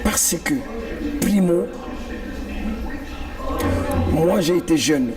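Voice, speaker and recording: Earnest man, higher voice. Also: expressive, extremely slow, crowd noise. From Republic of the Congo. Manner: earnest, repeats points for emphasis. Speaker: activist guest. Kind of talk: political TV interview. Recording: distant mic with music behind. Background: clear background music.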